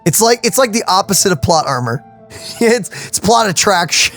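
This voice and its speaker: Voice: mid-range voice, expressive, moderate pace, fairly bright tone, soft background music. A let's-play host giving casual, jokey commentary, laughing often and breaking into exclamations.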